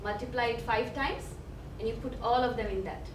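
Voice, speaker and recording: A woman's voice: on the high side, fairly quick. A young woman from Bangladesh, an activist speaking on a panel, hesitant with many fillers and restarts. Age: young adult. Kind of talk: panel talk. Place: event hall. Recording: echoey room, from far off, noisy.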